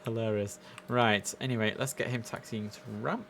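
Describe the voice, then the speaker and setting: Young man streaming, slightly creaky voice. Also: on the low side, fairly even intonation, normal speed, noisy background. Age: young adult. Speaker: game streamer. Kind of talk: casual live gameplay commentary. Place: indoors at a computer.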